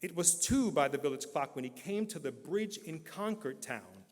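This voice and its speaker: A man's voice: a little high, very animated, moderate pace. A man giving a dramatic recitation of a poem, rising and falling for effect, in a large church hall. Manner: theatrical, swelling and dropping for effect. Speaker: poetry reciter. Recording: long reverb of a church hall.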